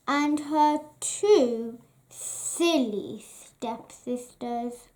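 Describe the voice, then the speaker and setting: A woman reading a children's picture book aloud at bedtime, slow and expressive. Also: high voice. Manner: slow, expressive, sing-song read-aloud delivery. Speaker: storybook reader.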